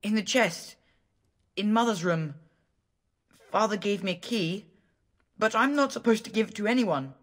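A woman's voice: mid-range voice, animated, moderate pace, long pauses, natural voice. Timid young village boy, voiced by a woman. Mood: hesitant, hopeful. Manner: hesitant, polite, earnest. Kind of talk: video game character dialogue.

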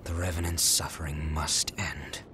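A man playing a composed, noble young revenant leader in a video game, speaking in a measured, serious way. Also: a bass voice, fairly even intonation, background noise. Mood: solemn. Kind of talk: video game cutscene acting. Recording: music behind the voice.

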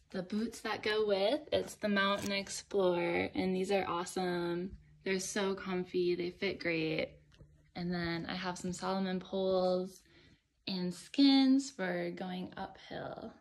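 A woman's voice: mid-range voice, creaky. A young woman talking casually and step by step as she demonstrates how her ski gear works. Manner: friendly, explains step by step while handling gear. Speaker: outdoor gear vlogger.

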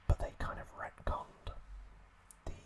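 A man whispering an ASMR story recap in a hushed, unhurried, hesitant way as the host.

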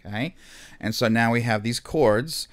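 A man instructing in music software in a casual, unscripted and slightly hesitant explanatory way, as a screen tutorial. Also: medium pitch, unhurried.

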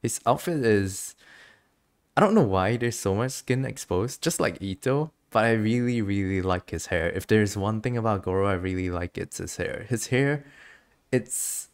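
Low-voiced man, chatty game streamer. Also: lively intonation, normal speed, clear, resonant tone. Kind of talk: casual game commentary.

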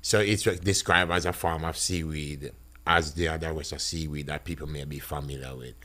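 A man, a chef talking as a podcast guest, animated, his voice jumping high when he gets excited. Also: fairly quick. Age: 50s. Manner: animated, big pitch jumps when excited. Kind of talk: casual interview talk. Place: small podcast studio. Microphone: studio boom mic, close.

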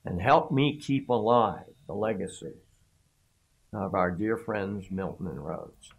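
An older man speaks slowly and deliberately at a commencement. He pauses and restarts his phrases as he works through his thoughts. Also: on the low side, gravelly, husky. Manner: slow, halting, with self-corrections. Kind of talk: formal speech. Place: room before a backdrop.

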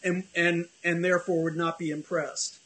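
A man's voice: on the high side, little pitch movement, moderate pace, fairly bright tone. A middle-aged man hosting a casual video call chat from his home office, rambling and self-deprecating with many restarts. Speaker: host.

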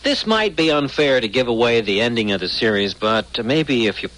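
Male radio host, mid-pitched with very lively intonation, chatty and conversational, playfully wistful. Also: moderate pace, rough recording.

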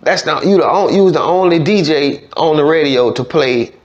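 A man with a Louisiana accent hosting a casual interview, slangy and quick to echo answers, in a dull studio recording. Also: fairly high pitch. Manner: slangy, echoes answers with short affirmations. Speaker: podcast host interviewer. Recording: dull, muffled studio sound.

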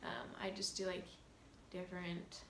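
A woman's voice: lowish voice, flat delivery, a little slow, gravelly, echoey room, slight background noise. A young woman in her teens talking casually to a laptop camera in a small bedroom, chatty with lots of fillers. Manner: chatty, giggly, lots of likes and ums. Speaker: vlogger. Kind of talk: casual vlog talk to camera.

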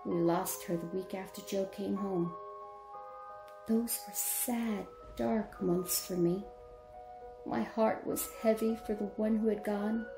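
A woman playing a gentle, sorrowful mother, narrating in an old-time radio drama. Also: mid-range voice, creaky. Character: gentle, sorrowful, caring middle-aged mother. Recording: echoey, music underneath. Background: music.

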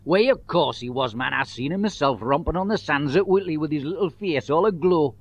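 A man's voice: on the high side, fairly quick, dry recording, voice pushed up. A man voicing a cheery, gossipy Geordie in a chatty way. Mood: chatty, amused. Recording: dull, dry home-made tape.

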